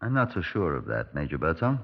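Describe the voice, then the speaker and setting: An actor playing a measured, courteous middle-aged British Scotland Yard superintendent, narrating and questioning in a 1950s radio drama. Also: male voice, a bass voice, sing-song, vocal fry, full character voice. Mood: measured, probing. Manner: measured, polite, probing. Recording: muffled 1950s radio recording.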